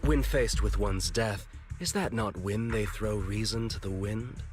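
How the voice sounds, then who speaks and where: A man's voice: fairly low pitch, measured pace, darkish tone, faint music behind, lightly acted. Pompous, hot-headed game villain voiced by a man. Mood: grandiose. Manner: pompous, grandiose. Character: pompous hot-headed male cult villain, grandiose and self-important. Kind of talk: dramatic video game voice acting.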